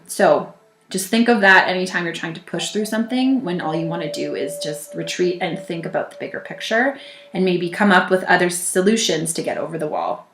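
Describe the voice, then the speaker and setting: A woman with a groggy, slightly low voice talks through a weekly tarot reading. She is gentle and reassuring, pausing and restarting her sentences. The room around her sounds echoey. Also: slight background noise. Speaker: tarot reader.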